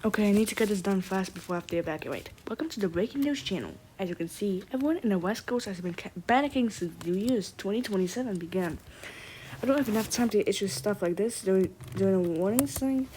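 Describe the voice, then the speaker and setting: A woman's voice: medium pitch, lively intonation, fast, warm tone, slight background noise, lightly acted, low-quality recording. A woman playing a worried news reporter who speaks in a hurry and stumbles over words. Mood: anxious, hurried. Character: hurried, worried news channel reporter about to evacuate. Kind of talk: amateur acted news report.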